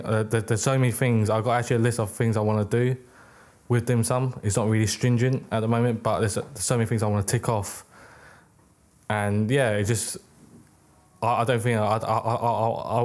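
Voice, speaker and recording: A young man born in the UK, a record label founder talking casually as a podcast guest, rambling with many restarts, on a boom mic in a small studio. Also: darkish tone. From United Kingdom. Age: young adult. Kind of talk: casual interview. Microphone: boom mic on arm, headphones.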